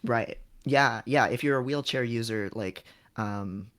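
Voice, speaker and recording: A man's voice: mid-range voice, measured pace, a bit bright. A man hosting a casual livestream, giving frank advice in a conversational, somewhat hesitant way. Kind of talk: casual livestream chat, advising.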